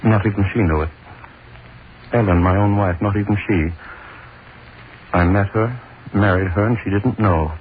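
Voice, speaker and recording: An actor playing a haunted, desperate middle-aged executioner in a 1930s radio play, brooding and tormented, heard through a tinny old recording. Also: male voice, fairly low pitch, moderate pace, long pauses, slight background noise, close to an everyday voice. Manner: tormented, brooding inner monologue. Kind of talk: 1930s radio drama acting.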